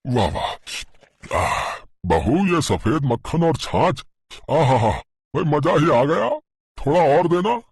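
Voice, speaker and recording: A man's voice: fairly high pitch, sing-song, slow pace, slightly rough, no echo, studio quality, heavily acted. A man voicing a kindly, firm older father-in-law, hearty in manner, in a family moral story. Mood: delighted, hearty.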